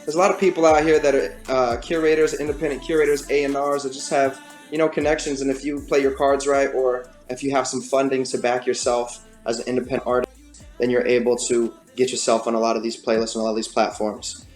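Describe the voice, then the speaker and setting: Male guest, raspy voice, mid pitch, halting casual delivery, roomy echo. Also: level tone, a little mellow, soft background music. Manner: casual, halting, lots of fillers and restarts. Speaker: rapper and singer guest. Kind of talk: casual interview chat.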